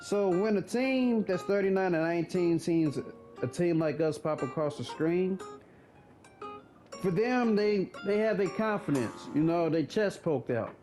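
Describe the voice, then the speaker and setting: A man's voice: sits high, lively intonation, measured pace, a little mellow, soft background music. A thirty-year-old man giving laid-back, blunt gaming commentary, swearing casually.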